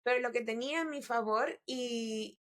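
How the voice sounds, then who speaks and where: A woman's voice: fairly high pitch, moderate pace, fairly bright tone. A woman from El Salvador, a guest in an interview. She speaks thoughtfully, often restarts phrases and mixes in English business terms. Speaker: guest entrepreneur. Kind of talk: interview conversation.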